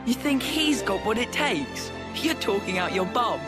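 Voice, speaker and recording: Blunt, lively British child. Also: female voice, fairly high pitch, unhurried, loud music behind the voice. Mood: teasing, sceptical. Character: blunt, teasing child, sceptical and bossy.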